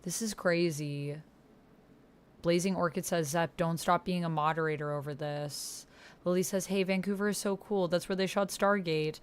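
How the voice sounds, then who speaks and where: A woman's voice: lowish voice, normal speed, smooth. A woman playing and hosting a text roleplay, reading out posts and reacting casually and expressively. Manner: expressive, gasping and exclaiming reactions between read passages. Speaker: solo tabletop roleplay player and host.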